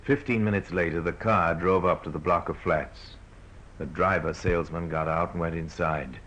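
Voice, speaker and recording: A man acting as the grave, wry narrator of an old-time radio crime drama, speaking in measured storytelling style. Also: low-pitched, fairly even intonation, fairly bright tone, a little hiss or hum. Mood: wry, ominous. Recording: dull old radio recording.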